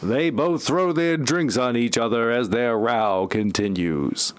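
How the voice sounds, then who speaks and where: A man's voice: mid-range voice, slow pace, clean tone. A man narrating a parody audio play from a script, with wry asides.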